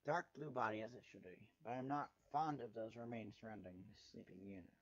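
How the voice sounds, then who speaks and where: A man's voice: on the low side, brisk, no echo. Sardonic male story reader, slightly dull sound. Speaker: fan fiction reader. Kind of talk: dramatic first-person story narration.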